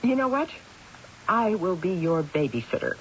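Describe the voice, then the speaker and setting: An actress playing an eager, chatty middle-aged mother and soon-to-be grandmother in an old-time radio drama, expressive and often repeating herself. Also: lowish voice, normal speed. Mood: doting. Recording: hissy, dull old radio recording.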